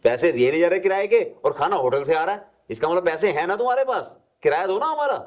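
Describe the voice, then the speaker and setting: A man's voice: high-pitched, expressive, fast talker. A man playing an anxious, exasperated elderly landlord in a melodramatic short film.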